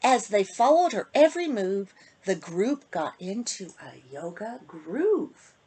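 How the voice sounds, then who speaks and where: A woman children's author with a slightly husky voice, reading a storybook aloud to young listeners and chatting to them in an animated way. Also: medium pitch, slow, a little hiss or hum. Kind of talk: casual intro and expressive storybook reading to children.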